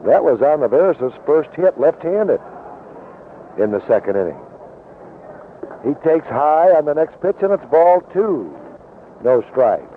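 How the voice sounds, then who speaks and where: A man's voice: fairly high pitch, sing-song, measured pace, pauses often. An American man calling baseball play-by-play in the style of 1970s radio commentary. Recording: tinny noisy vintage AM radio broadcast.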